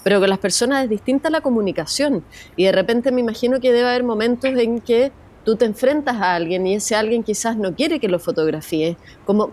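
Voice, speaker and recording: A woman's voice: a little high, darkish tone. A woman interviewing a guest and asking long, thoughtful questions.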